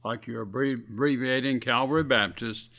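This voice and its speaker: A man's voice: medium pitch, a little fast, fairly bright tone. A man with a scratchy voice, preaching earnestly on a radio sermon broadcast in a folksy, exhorting way.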